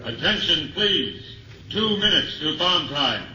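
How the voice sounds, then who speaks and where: An actor playing a military announcer giving clipped, formal countdowns over a loudspeaker in a 1950s radio drama. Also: male voice, on the high side, very animated, takes it slowly, reverberant, noisy background. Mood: urgent.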